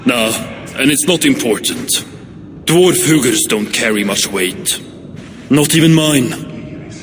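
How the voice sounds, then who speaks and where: A slow, mid-pitched male voice plays a grave, gruff game companion. He warns bluntly, with a long reverb and faint noise around him. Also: lively intonation, a bit bright, soft background music. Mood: wary, grave. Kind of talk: video game cutscene acting.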